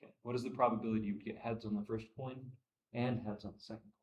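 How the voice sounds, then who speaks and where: A young man teaching statistics at a classroom whiteboard, losing his voice and clearing his throat, repeating phrases and checking understanding. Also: lowish voice, fairly even intonation, rapid-fire, dull audio. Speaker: statistics instructor. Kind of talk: classroom lecture. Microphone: far camera. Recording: echoey room, from far off.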